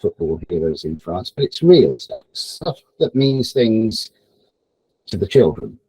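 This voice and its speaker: A man speaking informally at an online event for teachers, halting and repeating phrases as he thinks aloud. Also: on the low side, big pitch swings, mellow, breathy edge, dry recording, poor audio. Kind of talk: informal presentation, advice to teachers. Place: online event, close dry sound.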